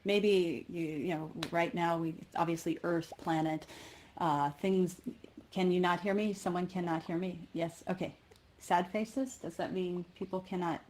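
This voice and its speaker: Lower-pitched woman giving a keynote talk. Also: fairly even intonation, darkish tone, slight background noise. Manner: halting, thinking aloud with rephrasing. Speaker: keynote speaker. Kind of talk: conference keynote with personal anecdotes.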